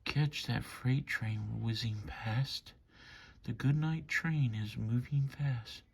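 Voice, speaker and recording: A man reading a children's bedtime story aloud in measured, rhythmic rhyme. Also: medium pitch, creaky, slightly rough. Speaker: storybook reader.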